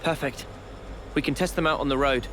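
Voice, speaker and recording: A male actor as an earnest young swordsman from a game. His voice is on the high side for a man, mild and a little hesitant. Clear ambient noise runs behind it. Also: fairly quick, fairly bright tone, lightly acted. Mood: agreeable. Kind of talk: video game cutscene acting.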